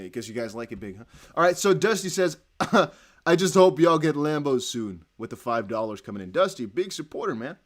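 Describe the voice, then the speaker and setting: Excitable Dutch-accented man streaming. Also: mid-range voice. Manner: excitable, reads chat aloud, bursts into whoops. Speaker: crypto livestream host. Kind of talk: casual livestream chat.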